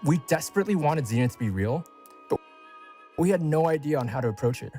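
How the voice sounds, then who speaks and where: A man's voice: on the high side, expressive, quick pace, mellow, soft background music. A man delivering a scripted announcement as a company co-founder addressing a community.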